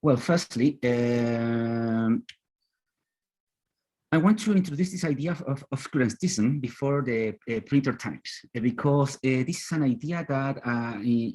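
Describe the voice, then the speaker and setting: A young man from Chile with a Chilean accent, a native Spanish speaker giving a loose presentation in halting, repetitive phrases. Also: medium pitch, darkish tone. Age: young adult. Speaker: student panelist. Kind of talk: loose, semi-improvised presentation. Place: online video call.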